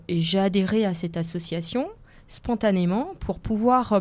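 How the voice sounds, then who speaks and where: A woman in her forties whose first language is Italian speaks as a guest on a radio interview. Her voice is mellow and soft, recorded close and dry in the studio, and she talks with frequent hesitations, fillers and careful restarts. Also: medium pitch, no room sound. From France, Seine-et-Marne. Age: 40s. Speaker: lawyer and author guest.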